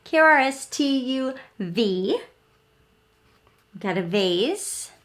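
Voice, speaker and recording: High-voiced woman reading slowly to young children. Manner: expressive, asks the listener questions and pauses; simple, deliberate teacher talk for small children. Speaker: children's read-aloud host and teacher. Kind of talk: interactive storybook read-aloud for young children.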